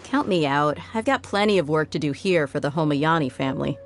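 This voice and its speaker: A woman's voice: deep voice, animated, brisk, over music. An actress playing a frank, savvy young woman who works as a mercenary guard in a video game, speaking candidly and conversationally. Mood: frank, advising.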